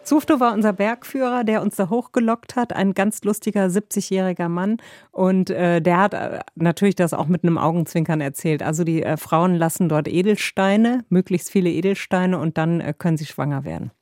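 A woman's voice: on the low side, fairly quick, darkish tone, no echo, clean studio sound, faint music behind. A woman working as a travel reporter, telling travel stories in a chatty, rambling way with fillers. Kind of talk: casual storytelling conversation.

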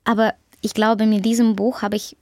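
A woman author with a Ukrainian accent, Russian as her first language, speaking German thoughtfully in an interview with many pauses and fillers. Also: mid-range voice, level tone, a little mellow, dry recording, clean studio sound. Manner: thoughtful, hesitant, with frequent fillers. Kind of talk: interview conversation.

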